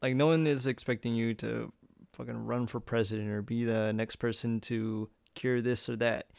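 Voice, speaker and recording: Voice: medium pitch, fairly even intonation, normal speed, gravelly, darkish tone, no echo. A speaker in their early twenties in casual chat, informal and prone to swearing.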